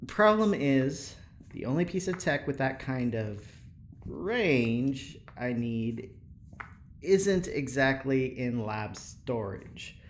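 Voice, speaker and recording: A man's voice: medium pitch, lively intonation, slow pace, slight background noise. An actor playing an eager, earnest male prison engineer in an audio drama, rambling hesitantly with nervous laughter. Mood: chatty, upbeat. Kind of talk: audio drama acting, naturalistic.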